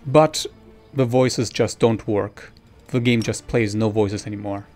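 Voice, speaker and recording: A man's voice: mid-range voice, a little mellow. A man presenting a screen-recorded tutorial, explaining each step informally.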